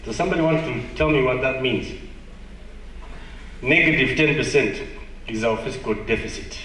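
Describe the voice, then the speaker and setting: A man's voice: mid-range voice, normal speed, bright, forceful tone, a little hiss or hum. Malawian male conference presenter, conversational and self-deprecating, recorded at a distance in a reverberant hall. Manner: conversational, self-deprecating, asks the audience questions. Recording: echoey hall, distant mic.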